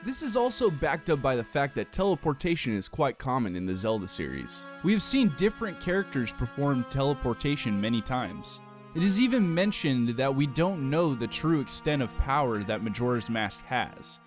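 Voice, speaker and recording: A man with a medium-pitched voice narrates a scripted video essay. He lays out his case in a measured, explanatory way, arguing point by point. Faint guitar music plays underneath.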